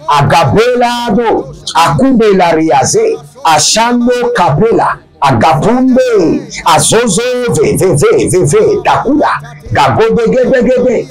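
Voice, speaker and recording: A man leading a deliverance prayer service, preaching slowly and emphatically and chanting long runs of speaking in tongues. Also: sits high, poor audio.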